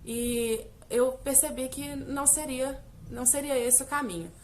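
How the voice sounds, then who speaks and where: Young woman from Brazil, chatty vlogger, fairly high voice, faint hiss behind. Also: a little slow. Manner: chatty, direct address with many fillers. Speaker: English teacher, vlogger. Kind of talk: casual personal vlog.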